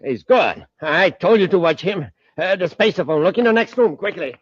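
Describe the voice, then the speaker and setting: Curt space crook, dull old radio. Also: male voice, sits high, lively intonation, normal speed. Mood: alarmed. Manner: curt, menacing. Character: impatient, bossy male criminal gang henchman. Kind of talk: 1950s radio drama acting.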